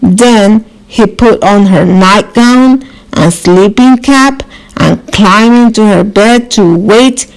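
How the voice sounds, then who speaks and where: A woman narrating a children's storybook as a storyteller, in a measured, expressive and dramatized way. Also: mid-range voice, low-quality recording.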